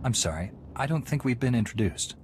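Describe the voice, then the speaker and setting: An actor playing an earnest, principled young knight hero in a video game, speaking directly. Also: male voice, mid-range voice, animated, a little fast, natural voice. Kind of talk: video game cutscene acting. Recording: noisy ambience behind voice.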